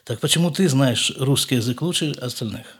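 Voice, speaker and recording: Middle-aged male host, husky voice, mid pitch, thoughtful unhurried questioner. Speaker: podcast host, father. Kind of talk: casual family conversation.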